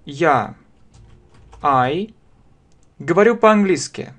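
A man in his thirties with a Russian accent, teaching a language lesson very slowly, spelling out phrases word by word and repeating them at a desk webcam. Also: fairly high pitch, expressive, fairly bright tone. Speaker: English teacher. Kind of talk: slow step-by-step language lesson. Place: small room indoors.

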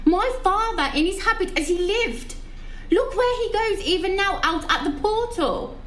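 A woman's voice: very high-pitched, lively intonation, moderate pace, a bit bright, slight background noise, rough recording. A woman playing a grieving, haunted prince, with intense dramatic delivery of verse in an audio drama. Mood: agitated.

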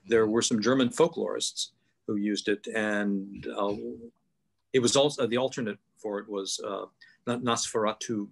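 An older man on a video call with a headset mic, explaining things thoughtfully with many pauses and fillers. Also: on the low side, creaky. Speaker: guest author. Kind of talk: online panel discussion. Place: home room, video call.

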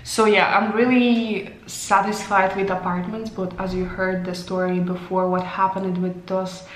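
A woman's voice: mid-range voice, level tone, unhurried, a little hiss or hum. A young woman, not a native English speaker, chatting casually to a handheld camera in a vlog, laughing often and losing her voice. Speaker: vlogger. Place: tiled hotel bathroom. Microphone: handheld camera at arm's length. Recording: echoey tiled room.

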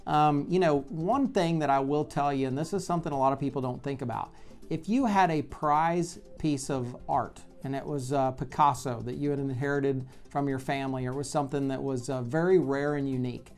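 A man in his forties speaking as a real estate video blog host, explaining in a measured, conversational way with pauses mid-phrase, recorded on a lapel mic in an office. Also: mid-range voice, a little mellow, soft background music. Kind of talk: explanatory talk to camera. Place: bright office by large windows, indoors.

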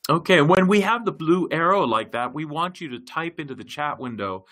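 A man hosting an online language-learning web meeting as facilitator, talking in a halting way with many fillers and restarts. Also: fairly high pitch, animated, a bit bright. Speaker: project facilitator. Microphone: computer mic in a web meeting.

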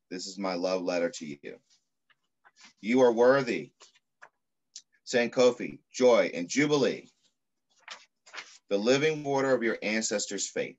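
Low-voiced middle-aged man, muffled audio. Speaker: pediatrician reading a story aloud. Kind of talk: children's picture book read-aloud. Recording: muffled video call audio.

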